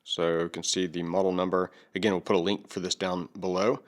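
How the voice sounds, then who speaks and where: A man's voice: low-pitched, little pitch movement, a little fast. A middle-aged man giving a casual product overview as a video host, plain-spoken and folksy. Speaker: video host reviewing gear.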